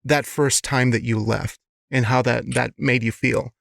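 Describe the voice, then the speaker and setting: Male podcast host, gravelly fry, dry close sound, thoughtful interviewer. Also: medium pitch, fairly even intonation, unhurried, a bit bright, no echo. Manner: thoughtful, restarts words, affirming. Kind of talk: interview questions.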